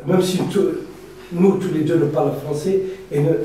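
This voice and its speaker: A Mauritanian writer speaking reflectively as a debate guest, pausing and restarting often. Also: male voice, on the high side, steady tone, warm tone. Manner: reflective, hesitant, many restarts and fillers. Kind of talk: debate discussion. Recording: echoey room from far off.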